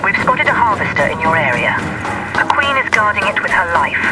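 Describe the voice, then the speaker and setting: A man's voice: sits high, very animated, fairly quick. A man playing an urgent alert caller in a video game, warning fighters through a radio filter. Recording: distant radio under loud music.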